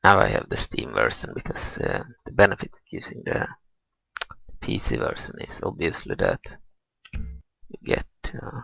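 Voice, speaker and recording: A man giving casual gameplay commentary, thinking aloud in a hesitant, grumbling, husky and gravelly way. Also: rumbling low, sing-song, unhurried, pushed and bright, dry recording, low-quality recording. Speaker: gamer recording gameplay commentary.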